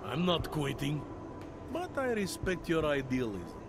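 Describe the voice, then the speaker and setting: A wry, weary veteran cop. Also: male voice, fairly high pitch, huge pitch swings, measured pace, full character voice. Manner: wry, world-weary, half-joking. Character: weary veteran male city police detective. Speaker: game character. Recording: game cutscene with loud music.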